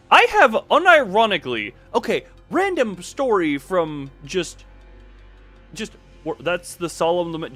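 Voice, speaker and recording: A man with a fairly high, very animated voice commentates a game on a livestream. He is excitable and sweary, prone to giggling as he reads aloud. Faint music plays behind him. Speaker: livestreamer playing and reading a story game.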